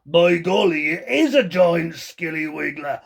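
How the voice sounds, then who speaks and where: A man's voice: fairly high pitch, animated, a little slow, vocal fry, slightly rough, pitched above the performer's own voice, heavily acted. A man voicing Mister Twit, a nasty, sly old husband who stammers as he tells tall tales. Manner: stammering, sneaky.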